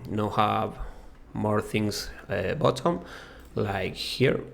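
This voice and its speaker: A man from Argentina with an Argentine Spanish accent, whose first language is Spanish, giving a halting, casual walkthrough of software with many fillers and restarts. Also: fairly low pitch, vocal fry, slight background noise. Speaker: web design course creator.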